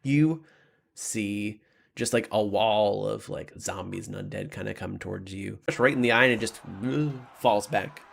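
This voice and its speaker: A man improvising tabletop game narration as game master, informal and halting in delivery. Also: on the low side, gravelly.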